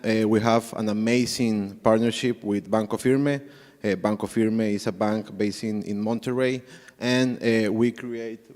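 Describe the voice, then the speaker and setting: A man's voice: lowish voice, darkish tone. A man from Ecuador with a Latin American Spanish accent, hesitantly presenting his company as an executive on a panel. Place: conference hall. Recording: echoey hall with long reverb.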